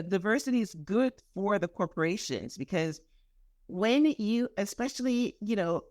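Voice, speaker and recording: A woman from Guyana hosting a podcast interview, chatty and animated, laughing easily. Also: mid-range voice, dry recording, studio quality. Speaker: podcast host.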